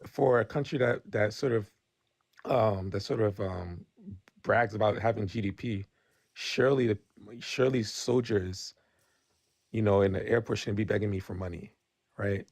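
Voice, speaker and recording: A man with a low, gravelly voice, who grew up Nigerian, speaks bluntly in a casual podcast debate. He restarts his sentences often and keeps checking in with "right?". The sound is dry and close to the mic. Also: steady tone, moderate pace, a little mellow, no room sound. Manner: candid, blunt, frequent restarts and "right?" tags.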